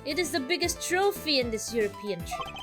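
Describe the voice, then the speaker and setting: A woman's voice: sits high, expressive, fairly quick, over music. A woman voicing a pompous, impatient businessman who is proud of his art in a video game. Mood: haughty.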